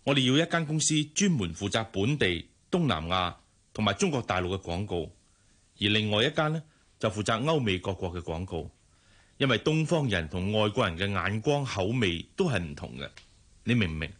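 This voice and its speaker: An actor playing a businesslike, authoritative general manager in a radio drama, formal and instructive, with a Hong Kong Cantonese accent. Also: male voice, lowish voice, sing-song, fairly quick, fairly bright tone.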